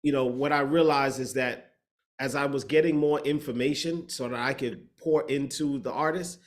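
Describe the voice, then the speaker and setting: A man's voice: medium pitch, normal speed. Reflective man from the Bahamas telling his story. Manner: reflective, steady, searching for words. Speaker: matchmaker and speaker. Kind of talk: casual interview storytelling.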